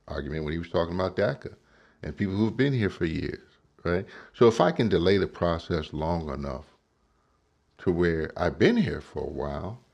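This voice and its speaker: A man's voice: sits low, creaky, darkish tone, lo-fi sound. A man giving emphatic political commentary on a clip, often asking "right?" to make his point. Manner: emphatic, asks rhetorical questions, says "right?" often.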